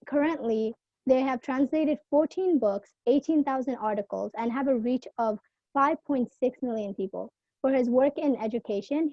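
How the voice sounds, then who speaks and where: A woman's voice: a little high, no room sound. A young woman interviewer asking prepared questions on a video call, careful and polite as she reads from her notes. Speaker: student interviewer. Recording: dull lo-fi video call audio.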